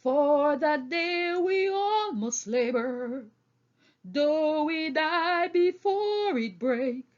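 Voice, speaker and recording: Casting: woman as old boar orator for a storybook, high voice, slow solemn emphatic delivery, dull lo-fi recording. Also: animated, heavily acted. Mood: rousing, singing. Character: old male boar, solemn rousing orator to fellow animals. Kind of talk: audiobook character speech and song.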